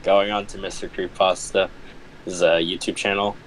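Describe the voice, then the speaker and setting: A man's voice: low voice, little pitch movement, moderate pace, fairly bright tone, slight background noise, low-quality recording. A man chatting casually on a livestream, blunt and sweary, with hesitant phrasing.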